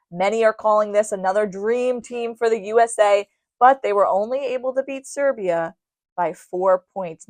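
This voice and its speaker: A woman's voice: mid-range voice, no echo. Dry-sounding woman hosting sports radio. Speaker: sports radio show host. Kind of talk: sports radio commentary and recap. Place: radio studio.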